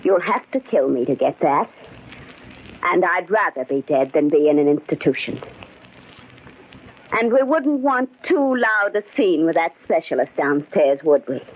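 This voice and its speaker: A woman's voice: low-pitched, lively intonation, breathy edge, heavily acted. Steely, anxious woman, tinny old radio. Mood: coldly triumphant. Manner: dramatic, deliberate delivery. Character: frightened but steely wronged woman narrating her ordeal. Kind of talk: old-time radio thriller acting and first-person narration. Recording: band-limited old radio drama with music.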